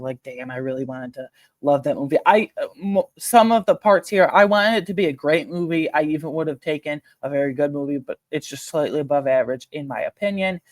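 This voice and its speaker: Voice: mid-range voice, dry recording. A video host giving casual, rambling film commentary to viewers, emphatic and slangy with frequent asides.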